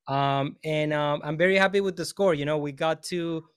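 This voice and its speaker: A man's voice: a little high, moderate pace, fairly bright tone, clean tone. A man, a film composer on a panel, talking casually and rambling with many fillers and restarts.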